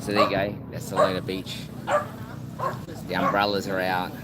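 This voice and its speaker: A man with a fairly low voice chats through a phone live stream from a beachside spot. He rambles casually and keeps repeating words as he restarts. Clear street and vehicle noise runs underneath him. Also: level tone, moderate pace. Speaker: travel vlogger. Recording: outdoor traffic noise.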